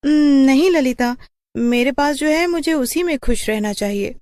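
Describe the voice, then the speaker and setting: An actress playing a poor but proud young college student in a story. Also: female voice, high-pitched, moderate pace, darkish tone, no room sound, clean studio sound, natural voice. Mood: downcast.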